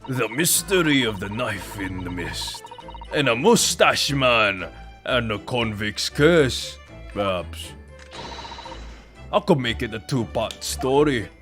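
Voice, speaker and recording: Young girl storyteller, played by a man: chirpy, theatrical, lively pitch, sharp bright tone. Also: takes it slowly, soft background music. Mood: pleased, excited. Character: eager, chirpy young girl who writes detective stories.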